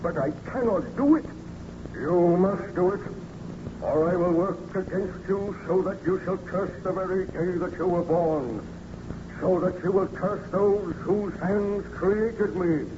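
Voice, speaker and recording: An actor playing a hideous outcast monster, pleading and menacing in a solemn, declamatory old radio horror style. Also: male voice, sits high. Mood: threatening. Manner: solemn, declamatory, drawn-out phrasing. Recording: noisy, muffled old radio recording.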